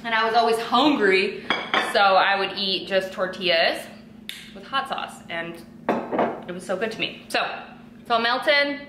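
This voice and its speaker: A woman's voice: medium pitch, measured pace, heavy background noise. A young woman vlogging at home, chatting casually and rambling with lots of "like" and "um", filmed by a camera on a tripod. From western New York. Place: kitchen and bedroom at home.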